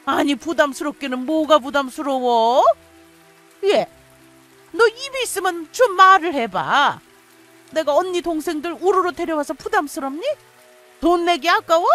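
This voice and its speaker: A woman's voice: high voice, animated, moderate pace, a bit bright, soft background music. A woman playing an indignant, domineering middle-aged mother-in-law in a radio story, scolding with accusatory questions. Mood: indignant, pushy. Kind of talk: radio story drama acting.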